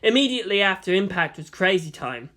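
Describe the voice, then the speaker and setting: A man's voice: sits high, sing-song, fairly quick, pushed and bright. A man narrating a story from a script as a fan fiction reader.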